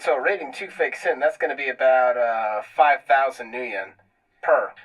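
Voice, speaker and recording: A man playing a gruff, sarcastic fixer who haggles and needles in an improvised tabletop roleplaying game. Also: medium pitch, normal speed, recorded from a distance, close to an everyday voice, rough recording. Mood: curt. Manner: curt, sarcastic.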